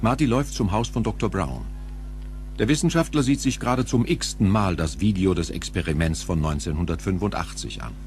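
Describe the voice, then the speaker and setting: A man's voice: low-pitched, a little fast, darkish tone, faint music behind. A man narrating an audio drama from a script as its storyteller.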